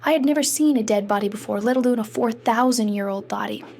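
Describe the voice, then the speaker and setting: A woman's voice: fairly high pitch, fast talker, a little mellow. A woman voicing a self-conscious, bookish fifteen-year-old girl, narrating in a wry, introspective way for a comic dub. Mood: wry, morbid. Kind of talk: scripted first-person narration for a comic dub.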